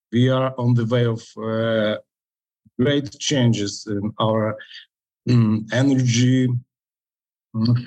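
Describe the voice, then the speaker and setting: A man with a Ukrainian accent, a company founder answering interview questions in halting English with many pauses and fillers. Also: medium pitch, darkish tone, poor audio.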